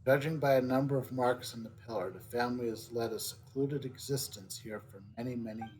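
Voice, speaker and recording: A man casually commenting on his game playthrough, thinking aloud in a relaxed way and reading out on-screen text. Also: medium pitch, fairly quick, a little hiss or hum, rough recording. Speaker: game streamer. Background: faint game music.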